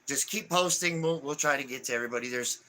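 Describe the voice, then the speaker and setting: Male co-host, 40s, bright tone, casual and halting as he reads out comments, faint noise behind. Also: medium pitch. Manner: reads viewer comments aloud, halting with fillers. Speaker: live stream co-host. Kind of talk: casual live stream chat.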